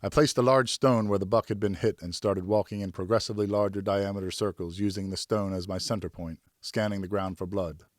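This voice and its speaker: A man narrating an audiobook in a measured, dramatic storytelling style. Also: on the low side, fairly even intonation, dry recording, clean studio sound.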